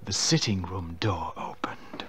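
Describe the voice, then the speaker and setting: A man's voice: low voice, a little slow, a bit bright, slight background noise. A man playing a smug, scheming nephew in a radio drama, with theatrical, confessional delivery. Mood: sinister, gloating. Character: scheming, smug young nephew who murdered his aunt. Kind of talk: radio drama acting with first-person narration.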